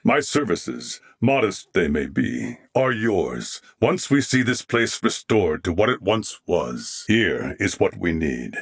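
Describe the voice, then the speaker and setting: Solemn video game warrior, low voice, formal and courteous wording, expressive pitch, very dry close sound. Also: male voice, vocal fry, husky, no room sound, full character voice. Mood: humble, courteous. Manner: formal, measured, humble diction. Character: courteous, dignified male warrior companion, solemn and loyal. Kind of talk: scripted video game character acting.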